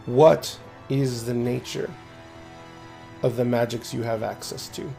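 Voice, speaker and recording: A calm, grave old-money aunt, as a man puts her on, speaking slowly in a hushed, measured tone. The voice carries a gravelly edge. Faint music plays in the background. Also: mid-range voice. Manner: slow, hushed, measured delivery.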